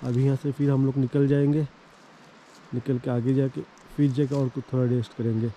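A man's voice: mid-range voice, little pitch movement, brisk, dark tone, a little hiss or hum, poor audio. A man narrating his motorcycle trip outdoors in a loose, chatty vlog style, full of fillers. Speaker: motorcycle travel vlogger. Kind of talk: casual travel vlog narration. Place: outdoors on mountain roads.

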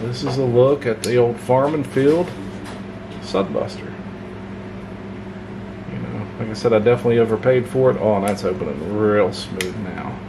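A man with a fairly low voice talks through a pocket knife in a relaxed, rambling, folksy way, filling his pauses with "uh" and "you know." He is at a workbench at home, recorded on the camera mic from a little off-frame. A steady hum of room noise runs under his voice. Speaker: knife reviewer. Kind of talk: casual hands-on product review. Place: home workshop desk, indoors.

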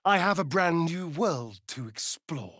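Sly theatrical vampire with sweeping pitch. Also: male voice, expressive, measured pace, bright, forceful tone, no echo, studio quality, full character voice. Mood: sardonic, flirtatious. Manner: theatrical, drawling, sardonic. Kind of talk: video game character acting.

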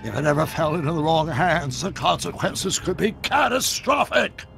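Excitable old scientist, high gravelly voice, theatrical, grandiose, video game, music behind. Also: male voice, normal speed, full character voice. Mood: excited, grave. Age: older. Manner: theatrical, emphatic.

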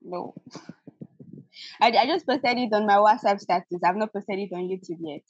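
A woman on a video call about starting a baking show, hesitant and nervous, stammering and laughing softly. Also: medium pitch, measured pace, breathy edge, dry recording. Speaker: caller, aspiring baker. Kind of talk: coaching call. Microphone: video call, audio only. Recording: dull lo-fi call audio.

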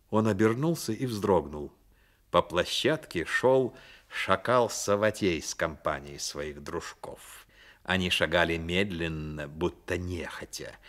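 A man narrating a radio play as a dramatic storyteller, measured and expressive. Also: deep voice.

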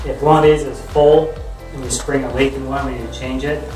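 A man's voice: medium pitch, fairly even intonation, fairly quick, music in the background. A man in his thirties explaining his building work in a casual, matter-of-fact way, with a wry edge. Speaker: homesteading vlogger. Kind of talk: casual explaining while working. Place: unfinished wooden building, partly open to outdoors. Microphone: camera across the room. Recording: echoey room, voice from a distance.

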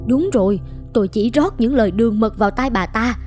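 An actress playing a gossipy, catty middle-aged wife in a cartoon folk tale, expressive. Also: female voice, on the high side, a little fast, lightly acted. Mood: pleased, sly. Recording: music behind the voice.

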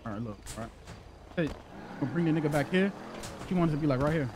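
A man chats casually during a role-play game over voice chat. His speech is quick, slangy and repetitive. A faint noise sits in the background. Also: fairly high pitch, animated. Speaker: fellow role-play gamer.

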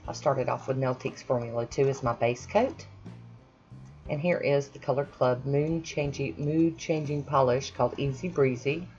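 A woman's voice: a bass voice, normal speed, a little mellow, muffled recording, faint music behind. A woman chatting casually over her own nail painting, self-deprecating with friendly asides. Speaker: nail art vlogger. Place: home manicure station, voice-over.

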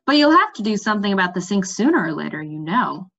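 A woman's voice: medium pitch, sing-song, quick pace, gravelly, a bit bright, exaggerated voice. A woman voicing a polite, mildly doubtful young king in a storybook, in a questioning manner. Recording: slightly dull webcam audio.

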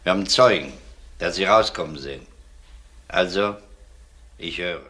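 A man's voice: sits low, normal speed, pushed and bright. A man playing a firm police inspector in a German crime radio drama, questioning in a clipped, dryly sardonic way. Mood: dryly pressing. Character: male police inspector, firm, dry, probing. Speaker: actor.

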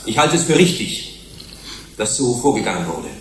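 An actor playing an earnest human rights commissioner pleading before a tribunal in a deliberate, rhetorical, formal way, in a German radio drama. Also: male voice, fairly low pitch, sing-song. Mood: earnest, measured. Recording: roomy hall echo, faint hiss.

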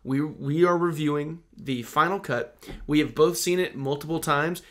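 Young guy with a kinda high voice, excited storytelling on a chill podcast. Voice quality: high-pitched voice. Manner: animated storyteller, quick laughs. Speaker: podcast co-host. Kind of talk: casual podcast chat.

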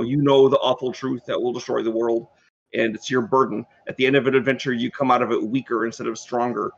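A man's voice: mid-range voice, creaky, fairly bright tone, lo-fi sound. A man in his sixties, a game designer explaining his thinking in a panel discussion over a video call. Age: 60s. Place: book-lined room, video call.

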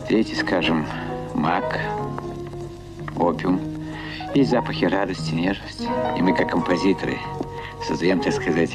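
Low-voiced, dreamy man rhapsodizing about scents, film clip, loud score underneath. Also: a little slow, long pauses, loud music behind the voice. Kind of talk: film dialogue.

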